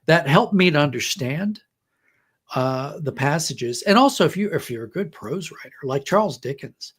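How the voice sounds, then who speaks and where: A man's voice: a little high, expressive, moderate pace, a bit bright, slightly rough. A middle-aged or older man in a podcast conversation, talking reflectively about books like a storyteller and illustrating his points with acted-out quotes. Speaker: podcast guest, writer. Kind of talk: conversational storytelling and literary discussion.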